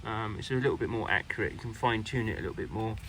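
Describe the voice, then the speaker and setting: A British man giving a casual hands-on product review in an informal, halting way. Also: fairly low pitch, fairly bright tone, a little hiss or hum.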